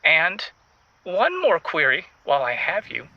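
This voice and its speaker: A man playing a pompous, florid writer calling a radio advice show, speaking in ornate phrases with theatrical pauses. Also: a little high, wildly swooping pitch, no echo, highs cut off, exaggerated voice. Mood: pompous, earnest. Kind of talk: improvised comedy radio call-in.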